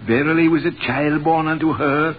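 An actor playing a boastful, long-winded, portly older physician in 1930s radio drama style. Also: male voice, on the high side, fairly even intonation, moderate pace, lightly acted. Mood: dramatic storytelling. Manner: pompous, wordy, self-important. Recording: muffled noisy 1930s radio recording.

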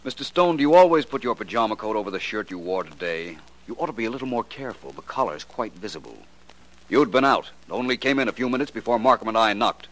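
Brief: an urbane sleuth, precise and wry, lowish, animated, old-time radio. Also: male voice, fast talker, low-quality recording. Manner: dry, precise, faintly ironic. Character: cool, urbane gentleman detective. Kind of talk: 1940s radio acting.

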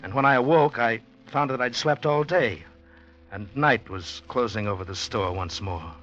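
An actor in 1940s radio drama playing an anxious, earnest gentleman, a bewildered newcomer who speaks haltingly and intensely. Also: mid-range voice, natural voice. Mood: tense, brooding. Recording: dull old 1940s radio recording.

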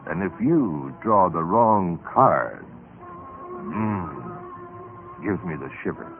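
A man's voice: sits low, expressive, slow pace. A man hosting an old radio mystery show with a theatrical, ominous introduction. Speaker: host. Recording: narrow old radio broadcast sound. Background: loud music.

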